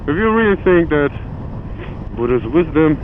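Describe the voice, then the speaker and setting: A man's voice: a little high, sing-song, normal speed. A man with a German accent, a native German speaker, chatting in a rambling, self-deprecating way while riding a motorcycle in winter. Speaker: motovlogger. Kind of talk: live motovlog chat while riding. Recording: phone-like audio with strong wind and engine noise. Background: wind and vehicle noise.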